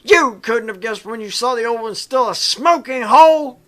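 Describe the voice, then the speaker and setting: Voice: medium pitch, normal speed, a bit bright, pitched above the performer's own voice, lightly acted. A performer voicing a furious military drill sergeant who barks insults at recruits in a visual novel game. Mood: furious, barking. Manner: barking, insulting, exasperated. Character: furious bellowing military drill sergeant, tank academy instructor.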